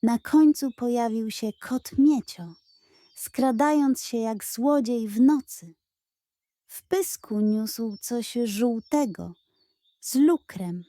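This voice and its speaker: A woman's voice: fairly high pitch, slow, warm tone, dry recording, studio quality. A woman reading a scripted bedtime story for children as its narrator.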